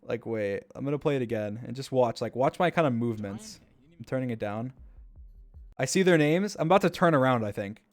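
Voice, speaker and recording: A man's voice: mid-range voice, animated, a little fast, faint music behind. A man casually commenting on his own gameplay clips during a stream, rambling and repeating himself with enthusiasm.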